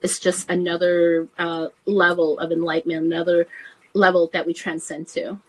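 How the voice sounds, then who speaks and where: A woman author from the United States, answering interview questions softly and unhurriedly, with fillers. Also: lowish voice, little pitch movement, normal speed, slightly rough, poor audio. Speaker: guest author.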